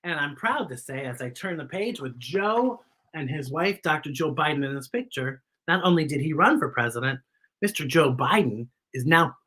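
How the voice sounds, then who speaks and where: An American woman hosting a children's story time, reading aloud and chatting in an expressive, encouraging way straight to young viewers. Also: low voice, moderate pace, breathy edge. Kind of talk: live read-aloud with chatty commentary.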